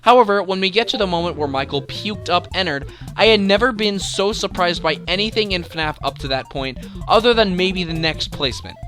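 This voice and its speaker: A man's voice: sits high, brisk, few pauses, fairly bright tone. A man hosting a scripted top-ten video with enthusiastic, emphatic commentary. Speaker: video creator and countdown host. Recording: music behind the voice. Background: music.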